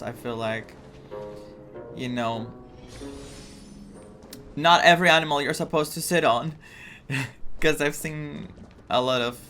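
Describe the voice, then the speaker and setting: Excitable male gamer from Greece. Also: medium pitch. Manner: excitable, thinking aloud. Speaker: gaming streamer. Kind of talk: casual reaction commentary over a game. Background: faint game music.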